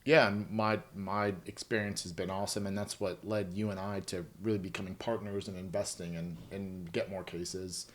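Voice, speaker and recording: A young man hosting a podcast in a studio, asking questions in a relaxed way with lots of fillers. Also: sits low, moderate pace, few pauses. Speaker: podcast host. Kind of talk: casual interview chat.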